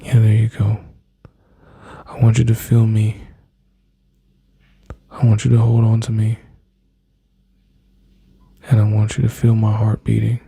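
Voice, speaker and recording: A man with a deep, dark, husky voice talks slow and tender, close on the mic, coaxing the listener to breathe and relax. His words sink into breathy whispers in a flat, even line, with a gravelly edge throughout. The recording is dry and close. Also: dry recording. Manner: soft, slow, soothing, intimate address to listener. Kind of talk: ASMR boyfriend roleplay.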